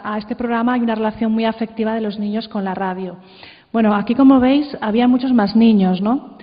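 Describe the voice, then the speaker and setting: A woman from presenting informally at a conference, halting and conversational, often adding tag questions. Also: on the high side. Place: large hall at a conference. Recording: muffled, reverberant hall sound.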